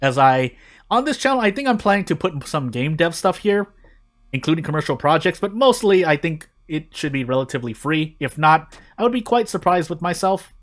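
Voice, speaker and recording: A man's voice: on the high side, animated, fairly quick. A man hosting a gaming channel, giving casual, rambling gameplay commentary with self-deprecating asides.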